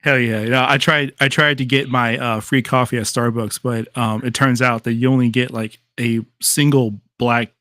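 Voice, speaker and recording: A man's voice: medium pitch, steady tone, moderate pace, few pauses, sharp tone, no echo, clean studio sound. A man hosting a live podcast, chatting loosely and casually with a guest, laughing easily and using plenty of fillers. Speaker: podcast host.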